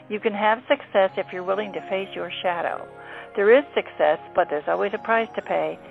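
Female radio astrologer, scripted read with vocal fry, music underneath. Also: medium pitch. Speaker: astrologer presenting a horoscope segment. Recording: phone-like narrow band, music behind.

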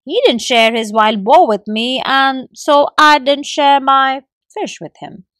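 A woman's voice: high-pitched, takes it slowly, fairly bright tone, dry recording. A woman voicing a clever, boastful male forest gecko in a children's story, stubbornly turning down every request. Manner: proud, stubborn refusals.

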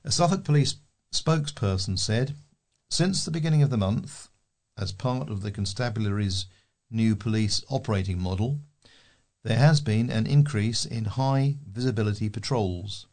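British guy reading the local paper out loud, lowish voice, volunteer newsreader. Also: moderate pace. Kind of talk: read-aloud local newspaper articles.

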